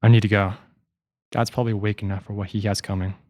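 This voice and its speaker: A man's voice: fairly low pitch, level tone, fast talker, a little mellow, dry recording, lightly acted. Man playing a tender, vengeful teenage boy, dry close sound. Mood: resolute. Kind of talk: audiobook character dialogue.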